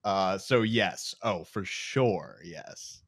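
Young guy answering casually, lowish voice, no echo. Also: extremely slow, a bit bright, clean studio sound. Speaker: voice actor in cast. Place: remote video call indoors.

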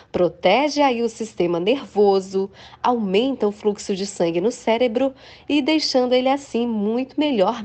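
A woman's voice: mid-range voice, a little mellow. Brazilian woman presenting health tips. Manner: explanatory, addresses listeners directly. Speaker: health tips channel host. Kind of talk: instructional voice-over narration.

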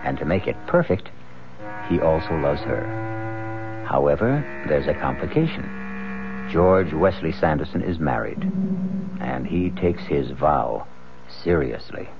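A man hosting and narrating a 1970s radio suspense drama in a theatrical, deliberate, ominous manner. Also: rumbling low, big pitch swings, gravelly. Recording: dull old radio recording, music behind.

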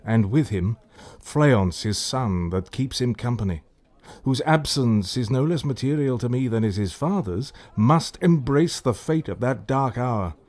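A brooding, scheming king who took the throne, in a classical radio drama, acted by a man. The voice is medium in pitch and speaks Shakespearean verse. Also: expressive, unhurried, a little mellow, close to an everyday voice. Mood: cold, plotting.

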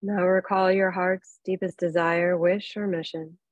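Low-pitched woman guiding meditation, close and dry. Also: no room sound, lo-fi sound. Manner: calm, unhurried, soothing, with long pauses. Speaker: yoga nidra meditation teacher. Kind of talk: guided relaxation meditation, instructing softly.

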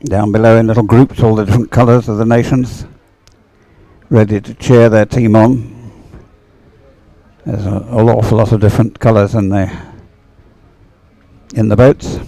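A man with a low, mellow voice commentates live on sport. He talks in a chatty, unhurried way, often halting as he thinks aloud. The sound is dry and close. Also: level tone, creaky, no echo, poor audio.

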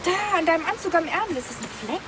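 A woman's voice: very high-pitched, animated, fast talker, noisy background. An actress playing a teasing, playful woman police colleague in a TV crime drama.

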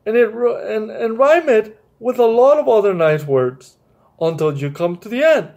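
Casting: man reads as helpful storybook toy soldier, high and lively, encouraging, eager with ideas. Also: normal speed. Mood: eager, instructive.

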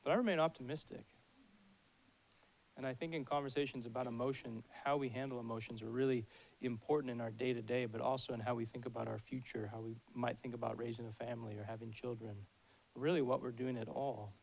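Man in his thirties, speaker at a podium, gravelly, thoughtful. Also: mid-range voice, steady tone, a little mellow, rough recording. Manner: thoughtful, measured. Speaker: keynote speaker. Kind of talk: lecture Q&A. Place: large hall at a podium. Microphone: handheld mic at a podium.

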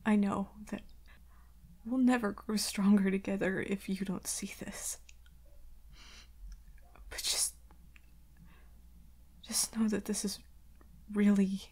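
A woman playing an anxious, tender werewolf girlfriend in an intimate ASMR roleplay, stammering and hesitant in a breathy half-whisper. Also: medium pitch, steady tone, slow, pauses often, a little mellow, voice pushed down. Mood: anxious, pleading. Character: anxious, tender werewolf girlfriend, scared of hurting her partner.

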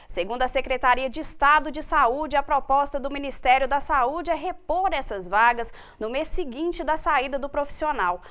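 High-voiced woman news anchor. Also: moderate pace, hardly any pauses. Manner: steady, formal reading. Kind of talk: read news presentation. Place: TV news studio.

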